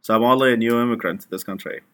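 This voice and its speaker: A man, a guest in an interview, talking in a halting way with many restarts. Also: mid-range voice, fairly bright tone. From Iraq. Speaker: guest, activist. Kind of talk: conversational interview.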